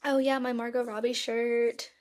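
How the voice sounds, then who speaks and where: Female podcast co-host, slightly high voice, casual and chatty, informal delivery with animated asides. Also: moderate pace. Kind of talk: casual chat about TV shows.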